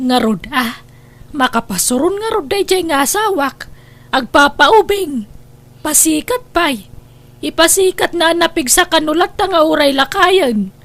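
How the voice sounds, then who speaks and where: A woman acts out an old wife in an Ilocano comedy drama, forever fretting and complaining about her husband. Her voice is pitched high, with an Ilocano Filipino accent. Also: animated, a little slow, fairly bright tone, full character voice. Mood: grumbling.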